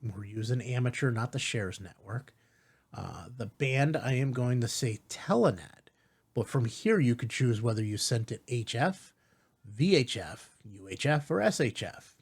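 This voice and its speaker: A man with a slightly husky voice presents a tutorial in a casual, explanatory way. His pitch moves around in a lively manner at a middle height as he guides the listener step by step. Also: unhurried. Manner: explanatory, checks in with "right?". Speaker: tutorial host.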